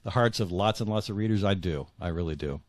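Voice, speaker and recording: Very low-voiced middle-aged man, earnest. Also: no echo, studio quality. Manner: earnest, halting with repeated words. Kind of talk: conversational interview answers.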